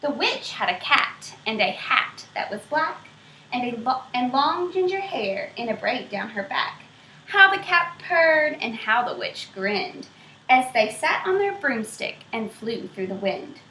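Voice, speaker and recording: Woman, picture-book reading, expressive, high bright voice, roomy echo. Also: a little hiss or hum. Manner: expressive, pausing to quiz young listeners. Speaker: storybook reader.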